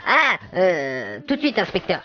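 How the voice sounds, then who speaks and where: A woman's voice: lowish voice, huge pitch swings, a little slow, muffled recording. A woman playing an obedient, easily puzzled junior police officer in a comedic audio drama, deferential and hesitant.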